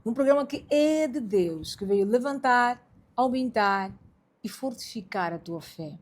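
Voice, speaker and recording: A woman's voice: on the high side, lively intonation, unhurried. A woman hosting a religious podcast, preaching to listeners in an emphatic, exhorting way and addressing them directly. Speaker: podcast host.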